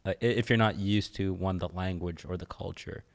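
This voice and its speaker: A man hosting a casual podcast, talking in stammering restarts and answering with a steady "right". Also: low voice, a little fast, gravelly. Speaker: podcast host.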